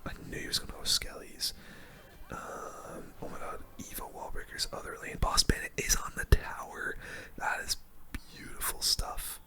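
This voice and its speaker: Close, bright whispering gamer. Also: normal speed. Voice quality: unvoiced breathy whisper. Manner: soft whispering, mild swearing, musing aloud. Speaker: gaming video creator. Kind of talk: ASMR gaming commentary. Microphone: close microphone.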